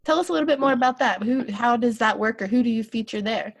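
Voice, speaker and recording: A woman hosts a podcast and opens with an upbeat introduction. Her voice sits a little high. She asks her guest friendly questions. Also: fast talker, creaky. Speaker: podcast host. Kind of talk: interview hosting.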